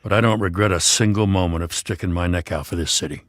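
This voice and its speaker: A man's voice: low-pitched, fast, no room sound, clean studio sound, close to an everyday voice. A man with an American accent voicing a weary, principled police lieutenant in a video game, plain-spoken and earnest. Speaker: voice actor. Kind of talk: video game dramatic dialogue.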